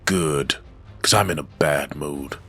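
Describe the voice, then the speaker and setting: Brooding demon antihero, husky bottom-register voice, blunt and threatening, faint music behind, comic dub. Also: male voice, very deep voice, takes it slowly, sharp tone, full character voice. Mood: menacing. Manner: menacing, blunt. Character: brooding, wrathful undead male antihero demon. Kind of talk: dramatic comic dub acting.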